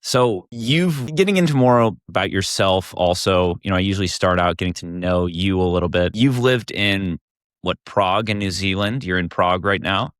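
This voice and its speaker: A young man hosting a podcast, reading a polished intro and then asking relaxed, friendly questions. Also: sits low, moderate pace, no echo, studio quality. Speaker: podcast host. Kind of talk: podcast interview.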